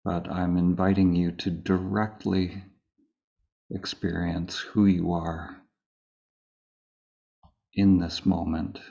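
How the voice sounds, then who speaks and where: Very slow, low-voiced man guiding meditation. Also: gravelly, lo-fi sound. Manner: calm, unhurried, with long pauses between phrases. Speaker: meditation teacher. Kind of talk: slow guided meditation, spoken live.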